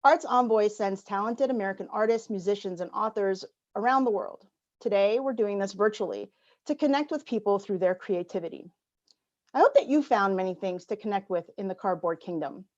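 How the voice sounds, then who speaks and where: A woman from an embassy reading prepared welcome remarks with a measured, formal delivery, heard over a video call. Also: medium pitch, darkish tone, muffled recording. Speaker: embassy representative opening the event.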